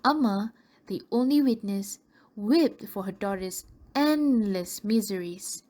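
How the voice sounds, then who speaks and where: A woman's voice: mid-range voice, expressive, slow, warm tone. A woman narrating a scripted radio drama in a storytelling style.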